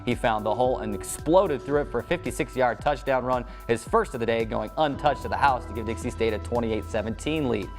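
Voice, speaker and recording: An American man reading scripted sports highlights as the host of a show. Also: brisk, few pauses, music in the background.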